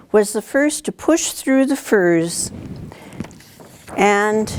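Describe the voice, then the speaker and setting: A woman's voice: medium pitch, darkish tone, a little hiss or hum. Older woman reading a storybook aloud. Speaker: town councillor reading to students. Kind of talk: read-aloud storybook narration. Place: indoors, library corner with bookshelves.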